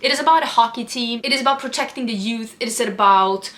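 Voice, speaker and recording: A woman's voice: on the high side, fast talker, a bit bright. A young woman from Sweden, chatting casually and upbeat about books to camera, with lots of "like" fillers. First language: Swedish. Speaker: book vlogger. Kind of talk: casual book chat to camera.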